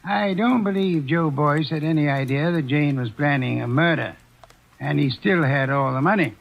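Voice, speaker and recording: An actor in a 1940s radio drama playing a calm, superior male detective who lectures in measured, dryly amused tones. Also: a little high, gravelly. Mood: explaining. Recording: dull old radio transcription.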